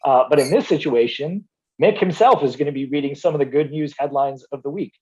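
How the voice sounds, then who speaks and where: A man's voice: on the high side, fairly quick, a little mellow, slightly rough, highs cut off. A man hosting a good-news show, chatty and enthusiastic as he introduces and praises a guest. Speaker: show host. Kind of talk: upbeat hosting banter.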